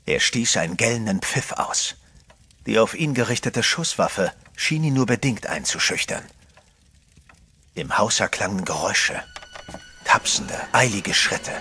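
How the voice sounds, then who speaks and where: A man's voice: lowish voice, expressive, measured pace, long pauses, bright, forceful tone, a little hiss or hum. A man narrating a horror radio play in the first person, tense and dramatic. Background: music.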